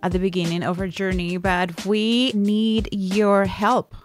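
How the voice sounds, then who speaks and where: A woman's voice: mid-range voice, level tone, a little slow, soft background music. A Colombian woman with a Spanish accent, co-hosting a podcast in an earnest, appealing way. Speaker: podcast co-host. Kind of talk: casual podcast chat.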